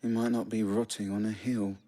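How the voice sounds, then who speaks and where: Man acting blunt soldier, lowish voice, wry, pragmatic, colloquial, game cutscene drama. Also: flat delivery, fairly quick, mellow, lightly acted.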